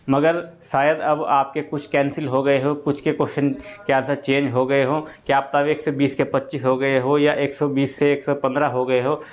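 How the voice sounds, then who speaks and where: Higher-pitched man explaining, dull audio. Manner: repetitive, step-by-step explaining. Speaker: exam-update presenter for students. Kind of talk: informal explanatory update.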